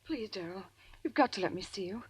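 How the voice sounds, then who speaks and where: An actress playing a gentle, anxious, devoted sweetheart, breathy and tenderly urgent, in a 1940s radio drama. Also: female voice, medium pitch, lively intonation, fairly quick. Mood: pleading. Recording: dry vintage radio drama recording.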